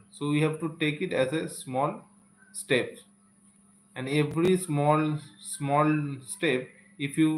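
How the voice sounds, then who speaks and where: A man's voice: on the high side, slight background noise. A man with an Indian accent whose first language is Santali, giving an earnest live-stream talk to English learners and often repeating phrases and saying "okay". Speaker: English teacher. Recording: echoey room.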